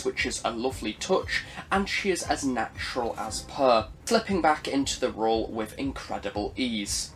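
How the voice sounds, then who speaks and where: A young man with a husky voice, reading a scripted review of an audio drama in formal, measured phrasing. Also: mid-range voice, fairly bright tone, a little hiss or hum, rough recording.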